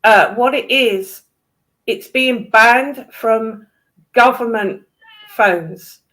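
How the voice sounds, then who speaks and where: A woman's voice: on the high side, very slow, a bit bright. A woman in casual discussion, speaking in a blunt, opinionated way.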